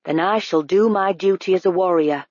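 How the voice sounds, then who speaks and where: A woman's voice: on the low side, normal speed, a little mellow, no echo, lightly acted. A woman doing a solemn dinosaur knight. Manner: solemn, formal. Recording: slightly dull, dry recording.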